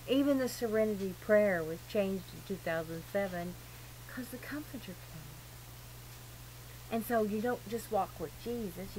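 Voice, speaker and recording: A woman giving an informal, rambling religious monologue in an earnest, emphatic, digressive way. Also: mid-range voice. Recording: noisy amateur recording with clear hum.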